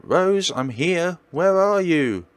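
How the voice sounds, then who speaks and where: A man acts out a jittery young woman in a horror visual novel. She exclaims dramatically and talks to herself as she goes. The pitch leaps about with plenty of animation. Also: animated, slow, smooth, no echo. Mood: calling out. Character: Isabel, jittery young female estate agent.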